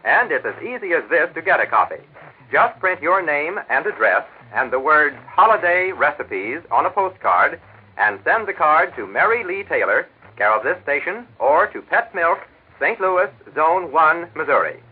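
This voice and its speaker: A male announcer sells in a polished, upbeat 1940s radio style. His voice is fairly high and animated, with some huskiness. It comes through on a tinny, narrow vintage broadcast recording. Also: normal speed, breathy edge.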